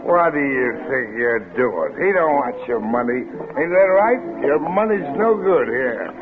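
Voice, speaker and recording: An actor playing a fat, overbearing drunk who talks in boozy, insistent slang, in a 1950s radio drama with a thin old sound. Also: male voice, medium pitch, animated, measured pace, narrow, tinny audio, background noise, lightly acted. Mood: belligerent. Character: fat drunken man, pushy, overbearing barfly.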